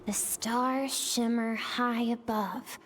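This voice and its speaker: High, slow, dreamy little girl. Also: fairly even intonation, faint music behind. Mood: wistful wonder. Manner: wistful, musing. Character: curious, dreamy little girl longing to explore. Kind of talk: dramatic video game voice acting.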